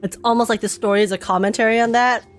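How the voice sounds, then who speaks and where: A woman's voice: a little high, fairly even intonation, fast, soft background music. A young woman reacting to a game on stream, chatting casually in a playful, giggly way.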